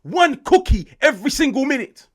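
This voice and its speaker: A British man in his late twenties, hosting an excited football reaction, swearing often and swinging between loud outbursts and hushed asides. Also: very high-pitched, normal speed. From United Kingdom. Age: 20s. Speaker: football channel host.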